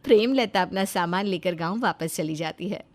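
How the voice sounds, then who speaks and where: A woman's voice: on the low side, moderate pace, a little hiss or hum. A woman giving scripted narration of a moral story as its storyteller.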